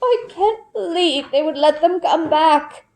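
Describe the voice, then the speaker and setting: A woman's voice: extremely high pitch, unhurried, a little mellow, echoey room, pitched above the performer's own voice. A woman voicing a disgruntled, suspicious elderly village woman with a strained, scolding delivery. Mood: worried. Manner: scolding, grumbling.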